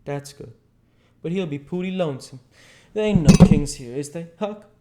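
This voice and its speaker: A man's voice: fairly high pitch, moderate pace, dark tone, pitched above the performer's own voice, close to an everyday voice. A man voicing a stubborn, uneducated Southern man in an audiobook, with a folksy dialect and insistent reasoning. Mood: curious. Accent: American South. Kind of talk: audiobook character dialogue.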